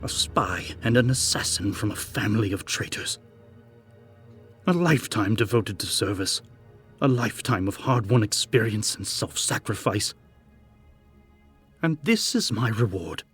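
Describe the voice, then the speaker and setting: A man's voice: on the low side, lively intonation, unhurried, pauses often, a bit bright, breathy edge, soft background music, exaggerated voice. A man playing an unhinged, furious and self-pitying corrupt older man, snarling with theatrical menace in an audio drama. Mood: bitter, wounded rage. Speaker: voice actor in a fan audio drama. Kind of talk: dramatic villain acting.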